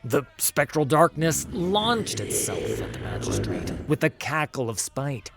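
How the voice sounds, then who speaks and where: A man narrating a fantasy story in an intense, dramatic scripted style, dropping at times into hushed tones. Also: mid-range voice, wildly swooping pitch, measured pace, vocal fry, noisy background. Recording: music under the voice. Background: music.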